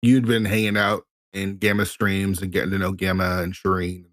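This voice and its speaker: A man hosting a casual podcast interview, relaxed and encouraging, often repeating words. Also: sits low, moderate pace, no echo, studio quality. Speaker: podcast host.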